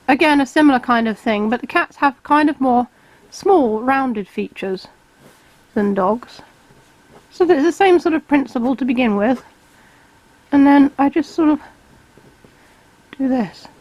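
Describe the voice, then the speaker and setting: A woman's voice: high voice, no room sound, rough recording. Woman with a cold, explaining drawing. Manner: relaxed, explains step by step while drawing. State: has a cold, slightly hoarse. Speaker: art tutor. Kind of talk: drawing tutorial voice-over.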